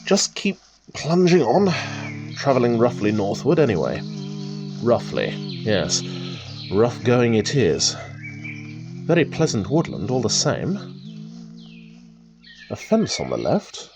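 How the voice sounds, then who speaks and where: A man playing an excitable, musing rambler. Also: mid-range voice, slow, pauses often, music in the background, heavily acted. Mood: musing, observant. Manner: musing, old-fashioned British idioms, exclamatory. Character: chatty male country rambler talking to himself, wry and excitable. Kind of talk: radio drama monologue, thinking aloud.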